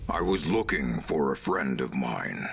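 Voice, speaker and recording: A gentle old super mutant soldier from a video game, with a very deep voice full of gravel. He speaks slowly and haltingly, carrying old sorrow, with a hard forceful edge and faint noise behind him. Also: very animated, full character voice. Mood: somber. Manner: slow, halting, deliberate delivery. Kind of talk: video game character dialogue.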